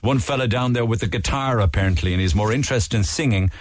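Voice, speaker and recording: A man with a Cork Irish accent hosting a radio show, reading listener shout-outs and teasing callers. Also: lowish voice, no room sound, clean studio sound. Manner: brisk, jokey, teasing. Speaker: radio host. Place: radio studio.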